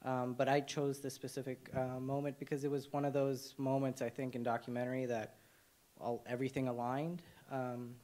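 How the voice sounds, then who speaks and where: A young man from Nepal sits on a panel of filmmakers, speaking at an everyday mid pitch. He talks reflectively, with plenty of "um"s and restarts as he finds his words. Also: level tone, moderate pace, darkish tone. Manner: hesitant, many fillers and restarts. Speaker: documentary cinematographer, panelist. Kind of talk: panel discussion.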